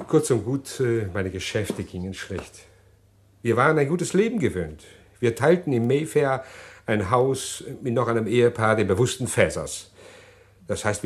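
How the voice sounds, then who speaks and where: A well-spoken, condemned gentleman in a radio crime play. His voice is on the low side. He explains himself formally and evasively, with hesitant turns of phrase. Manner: formal, hesitant, self-justifying.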